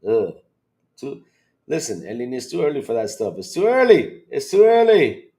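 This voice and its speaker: A man hosting a casual livestream with a congested, slightly hoarse voice, swinging between loud bursts and low asides. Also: wildly swooping pitch, moderate pace. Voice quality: hoarse, grumpy-sounding congested voice. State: congested, sick with allergies or a cold. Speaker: livestream podcast host. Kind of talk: casual livestream chat to viewers.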